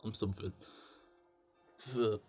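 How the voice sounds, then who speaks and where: A young man with a slightly husky voice, rambling through a self-deprecating, slangy, sweary confessional video diary. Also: on the low side, level tone, slow pace, warm tone. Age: young adult. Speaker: solo video diarist. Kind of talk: rambling, confessional casual chat to camera.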